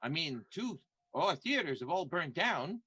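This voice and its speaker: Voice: mid-range voice, sing-song, normal speed, bright, forceful tone, breathy edge, highs cut off, heavily acted. An actor playing a cheerful newlywed vaudeville performer with folksy, encouraging buddy talk in an improvised radio comedy.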